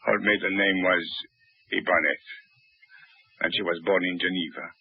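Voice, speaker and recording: A smooth sinister doctor. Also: male voice, low-pitched, steady tone, normal speed, no echo. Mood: cool menace. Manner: smooth, patronizing, coolly menacing. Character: smooth sinister male doctor, falsely soothing. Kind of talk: 1950s radio drama acting. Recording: tinny old radio broadcast recording.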